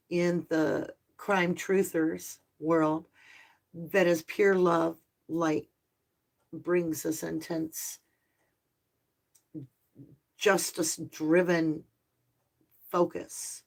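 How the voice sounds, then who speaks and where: Slow, low-voiced woman tarot reader. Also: little pitch movement, creaky. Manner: soft, slow, spiritual and affectionate, addressing viewers as lovelies. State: slightly hoarse and tired. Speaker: tarot reader and channel host. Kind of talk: live-stream tarot reading and chat.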